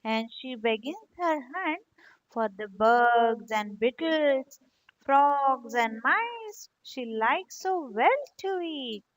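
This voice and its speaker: A woman storyteller reading a moral tale aloud for children, expressive and teacherly, addressing young listeners directly. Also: high-pitched, slow pace, dry recording, low-quality recording.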